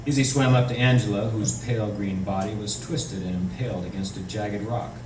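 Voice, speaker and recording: Low-voiced man reading in an echoey room. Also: fairly even intonation, fairly quick. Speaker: author. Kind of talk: live reading of a novel. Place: bookstore event, indoors. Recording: echoey noisy room, distant microphone.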